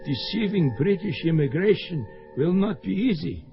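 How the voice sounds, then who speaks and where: An actor playing a bitter, protective older father in a radio drama, with a husky voice. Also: high-pitched, expressive, moderate pace, faint music behind. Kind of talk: 1970s radio drama acting. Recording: dull lo-fi old radio recording.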